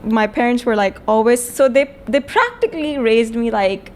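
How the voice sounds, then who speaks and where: A young woman from Pakistan, a podcast guest, telling stories of her upbringing in a lively way with frequent fillers. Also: a little high, normal speed. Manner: animated storytelling with many fillers. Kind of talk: casual interview chat.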